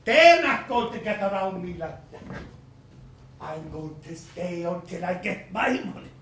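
A woman's voice: lowish voice, animated, measured pace, a little hiss or hum. A woman playing a blustering, angry male landowner who rants in exclamatory, self-pitying outbursts, acting out a stage play. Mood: ranting. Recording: roomy echo, from a distance.

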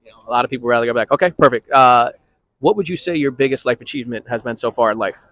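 A man in his thirties interviewing people on a city street with a handheld mic, quick-talking and repeating short affirmations. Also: medium pitch, fairly bright tone, no echo. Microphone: handheld microphone.

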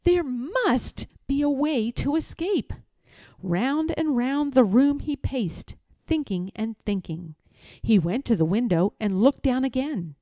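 A woman reading a children's story aloud in a deliberate, expressive, dramatic style. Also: high voice, dry recording. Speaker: storybook reader.

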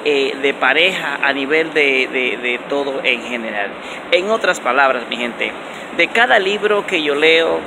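A man in his forties giving motivational self-help advice in a casual vlog, talking with animated emphasis and lots of repetition, filmed on a phone held at arm's length in an underground station. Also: gravelly. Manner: animated and repetitive, many restarts, stressing words with rising pitch. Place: indoor underground transit station, concrete walls. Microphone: phone camera held close at arm's length. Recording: noisy phone recording in a station.

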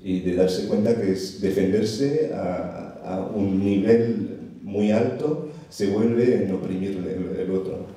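A man's voice: sits low, fairly even intonation, slow, hardly any pauses, warm tone. A man in his thirties speaking Spanish as a second language, searching for words with many fillers at an open forum, holding a handheld mic. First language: not Spanish. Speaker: audience member. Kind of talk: hesitant open-forum remarks. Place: dark theatre auditorium, seated in audience. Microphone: handheld microphone. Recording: echoey hall, voice from far off.

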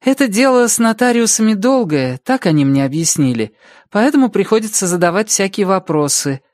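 A man's voice: high voice, lively intonation, fairly quick, no echo, clean studio sound, voice pushed up. A man voicing a polite, matter-of-fact woman witness in an audiobook, composed and explanatory. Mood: matter-of-fact, explaining. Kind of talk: audiobook character dialogue.

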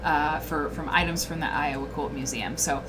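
A chatty woman hosts a casual livestream from a small room, talking straight to her viewers and reading their comments out loud. A webcam picks her up, with clear noise underneath her voice. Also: low-pitched, normal speed. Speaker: host.

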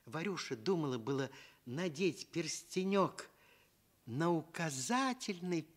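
Man, Soviet radio fairy-tale narrator, slow, very varied intonation, tender, dramatic pauses. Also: fairly high pitch, animated. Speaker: storyteller.

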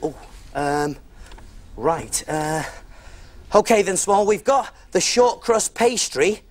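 A woman's voice: lowish voice, lively intonation, slow, a little mellow. A woman playing a cheerful cafe cook on a children's show, talking with enthusiasm.

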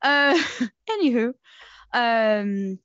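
A woman's voice: fairly high pitch, lively intonation, very slow, fairly bright tone, clean tone, no echo. A woman who speaks Portuguese as her first language, hosting a casual podcast interview in a chatty, upbeat way with many fillers. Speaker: podcast host. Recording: dull dry lo-fi audio.

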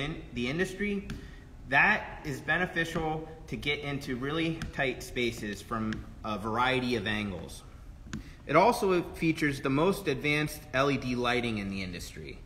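A man's voice: medium pitch, bright, forceful tone. A man in his thirties presenting a product live and explaining its features. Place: trade show booth in a large indoor hall. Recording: roomy echoing hall with faint noise.

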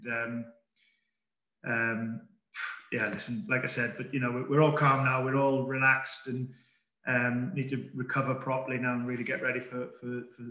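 A middle-aged man, a football manager, answering press questions calmly over a video call, with many fillers and restarts. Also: medium pitch. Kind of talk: post-match press conference. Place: indoor press room in front of a sponsor board. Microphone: webcam on a video call. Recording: echoey room.

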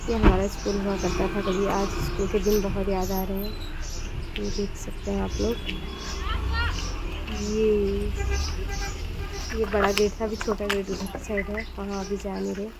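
A woman's voice: medium pitch, level tone, normal speed, vocal fry, pauses often. A woman in her thirties from the Kumaon hills, speaking Hindi with a Kumaoni accent, chatting to viewers on a phone camera held at arm's length outdoors. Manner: chatty, addresses viewers directly. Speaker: vlogger. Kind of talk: casual travel vlog narration. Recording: loud outdoor noise.

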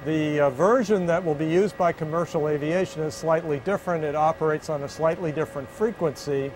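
An American man in his sixties gives a measured, methodical explanation to camera. His voice sits a little higher than most men's. He is on a lapel mic, with faint ambient noise behind him. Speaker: aviation official and pilot. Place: inside an aircraft cabin.

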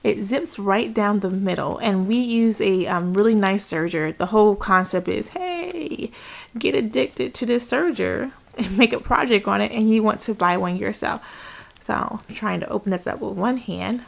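A woman's voice: medium pitch, moderate pace, gravelly. A woman chatting informally and enthusiastically as she shows things to the camera in a casual home craft vlog. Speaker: craft vlogger. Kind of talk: casual haul show-and-tell. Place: indoors at home. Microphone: handheld camera, speaker behind it.

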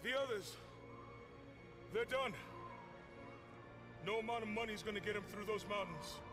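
Actor as a high-pitched rugged climbing companion, male. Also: normal speed, over music, close to an everyday voice. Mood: wary, resolute. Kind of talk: cinematic game acting.

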